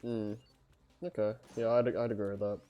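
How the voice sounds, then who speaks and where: A man chatting casually as a livestream host, speaking in a hesitant, halting way. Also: lowish voice, little pitch movement, lo-fi sound. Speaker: host of a story-reading livestream. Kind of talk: casual chat.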